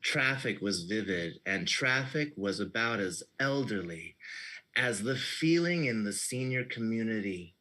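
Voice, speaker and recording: Slow mock-poetic male narrator. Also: fairly low pitch, animated, sharp tone. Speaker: improviser narrating. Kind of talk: mock-grand scene narration. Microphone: video call.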